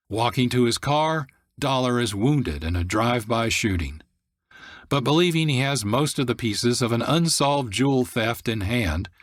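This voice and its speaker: A man's voice: medium pitch, no echo, studio quality. A man reading scripted narration as the presenter of a radio program. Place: dry studio.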